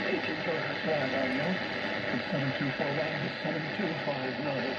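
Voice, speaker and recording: A man hosting a shortwave radio programme, reading a scripted news bulletin in a deliberate way. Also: medium pitch. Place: heard over a shortwave receiver. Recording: noisy muffled shortwave radio reception with static.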